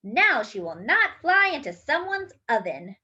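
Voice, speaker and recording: Woman reading as a skeptical storybook grandfather, high-pitched. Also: big pitch swings, measured pace, bright, forceful tone, lo-fi sound, heavily acted.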